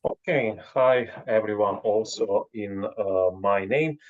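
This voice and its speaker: A man, a co-organizer giving announcements on a video call in a halting way full of fillers. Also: fairly low pitch. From Slovenia. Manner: halting, many filler sounds. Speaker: panel co-organizer. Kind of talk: event announcements. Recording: dull lo-fi video call audio.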